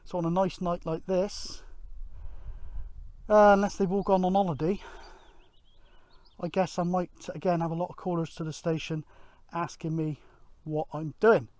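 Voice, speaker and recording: A middle-aged man gives casual outdoor vlog commentary in a rambling, halting way, thinking aloud. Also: high voice, flat delivery, darkish tone. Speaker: amateur radio vlogger, presenter. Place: outdoors on an open grassy hillside.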